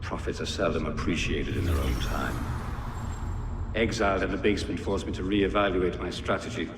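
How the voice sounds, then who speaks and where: Game villain, male actor: middle-aged secret-order zealot, low grandiose delivery, heard in a cavernous echoing hall with noisy ambience. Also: lightly acted. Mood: coldly certain. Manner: grandiose, measured, prophetic speechifying. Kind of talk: dramatic video game cutscene acting.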